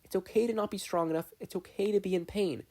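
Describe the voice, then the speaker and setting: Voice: medium pitch, fast talker, a little mellow, pitched above the performer's own voice, natural voice. A narrator voicing an earnest, upset young girl in a fan fiction reading. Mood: gentle pleading. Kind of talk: fan fiction audiobook character voice.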